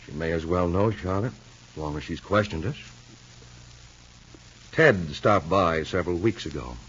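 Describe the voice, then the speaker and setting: An actor playing a calm, reasonable husband in a 1950s radio soap, speaking in a measured, frank way. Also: male voice, sits low, husky, heavily acted. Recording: muffled old radio recording.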